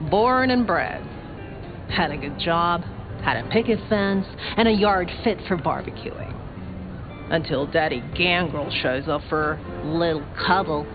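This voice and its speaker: A woman's voice: lowish voice, a little slow, close to an everyday voice. An actress playing a brash, sarcastic young female vampire in a video game, a scrappy small-town newcomer who talks in slangy, sardonic, sweary bursts. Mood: wry. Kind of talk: video game character dialogue. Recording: dull game audio under clear music.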